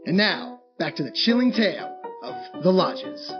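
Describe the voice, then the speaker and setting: A woman playing an excitable, patriotic wartime radio announcer with a declamatory old-time broadcast style. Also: fairly low pitch, unhurried. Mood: dramatic. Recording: dull radio audio with static, music.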